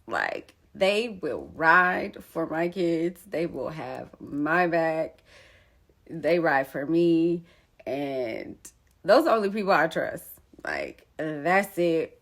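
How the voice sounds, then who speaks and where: A woman in her thirties with a husky voice, giving earnest, encouraging advice from her own experience in a casual personal talk. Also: sits low. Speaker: vlogger sharing her story.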